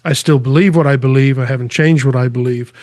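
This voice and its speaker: Brief: middle-aged man, earnest and halting guest, mid-pitched voice, dry close sound. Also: steady tone, a little mellow, no room sound. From England, raised in Spain. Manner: earnest, halting, with frequent restarts. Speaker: artist and guest. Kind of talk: conversational interview answers.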